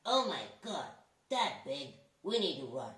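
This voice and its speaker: A woman voicing an excitable, fearful animal in a children's puppet show, with exclamatory, repetitive lines. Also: low voice, measured pace, exaggerated voice. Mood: alarmed. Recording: echoey room, distant microphone.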